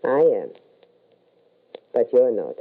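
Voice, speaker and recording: A woman playing a self-assured, mysterious occult adept in a 1940s radio horror drama, speaking deliberately on a tinny old recording. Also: a bass voice, big pitch swings, breathy edge, heavily acted. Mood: commanding. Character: ominous, self-assured female occult adept, coolly warning.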